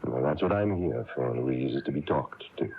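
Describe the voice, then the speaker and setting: Minister for radio play: very low, avuncular, coaxing, chatty; noisy old broadcast tape sound. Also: male voice, animated, normal speed, creaky, heavily acted. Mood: concerned, helpful. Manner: avuncular, coaxing, hesitant phrasing. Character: kindly, chatty small-town minister, gently prying. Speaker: radio drama actor. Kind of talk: 1980s radio drama acting. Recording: dull, noisy AM radio recording.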